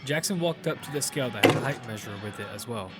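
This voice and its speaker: Casual, cocky young man narrating an audio drama male actor, mid-pitched, music underneath. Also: expressive, fast, natural voice. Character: casual young male gamer, confident teasing friend. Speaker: narrator and lead character. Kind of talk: first-person audio fiction narration and dialogue.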